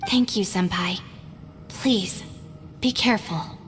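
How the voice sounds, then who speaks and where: A woman's voice: medium pitch, slow, slight background noise, lightly acted. A woman playing a level-headed, loyal young female gang deputy in a video game, composed, earnest and reassuring. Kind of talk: video game cutscene acting. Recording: long reverb, game music behind.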